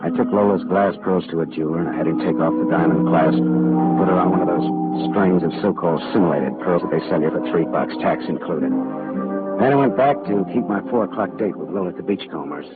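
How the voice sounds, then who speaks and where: A man's voice: low-pitched, a little fast, natural voice. An actor playing a hard-boiled male private detective, narrating in a dry, laconic 1940s radio drama style. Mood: wry. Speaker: lead actor. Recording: tinny old radio broadcast with loud music.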